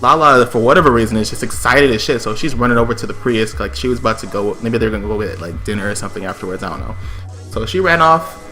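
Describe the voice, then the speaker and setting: A man's voice: fairly low pitch, expressive, quick pace, sharp tone, faint music behind. A man telling a personal story on a stream in a casual, slangy, profane way, acting out the quotes.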